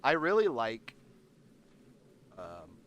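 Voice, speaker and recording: A man's voice: medium pitch, huge pitch swings, extremely slow, fairly bright tone. A man hosting a livestream, chatting casually and rambling while reading the chat aloud, congested and nasal. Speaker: livestream host.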